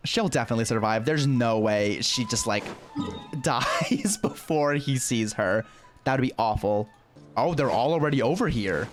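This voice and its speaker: A man's voice: medium pitch, lively intonation, moderate pace. A young man casually commenting while playing a story game, reading prompts aloud with wry asides. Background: game music.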